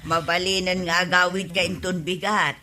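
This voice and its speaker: A woman's voice: lowish voice, level tone, moderate pace, bright, forceful tone, soft background music. A woman playing an anxious, emotional village wife in a Filipino radio comedy drama, with an Ilocano accent. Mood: subdued, concerned.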